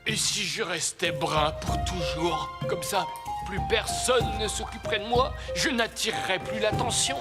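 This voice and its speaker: A performer playing a self-conscious young creature in a French animated series. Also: mid-range voice, a little slow, natural voice. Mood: dejected. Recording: loud music bed behind voice.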